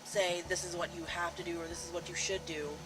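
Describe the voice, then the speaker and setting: A woman in her twenties chatting casually in a halting way, seated indoors among a small group. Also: lowish voice, flat delivery, music in the background. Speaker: co-host. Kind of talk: casual chat. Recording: noisy room with ambient hum.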